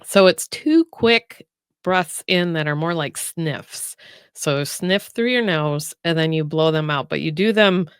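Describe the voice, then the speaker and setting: A woman's voice: low-pitched, a little slow, clean tone, no room sound, clean studio sound. A woman co-hosting a health chat show, nasal from a cold, walking listeners through breathing exercises step by step in a chatty way. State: getting over a cold, nasal. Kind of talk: casual explanatory chat.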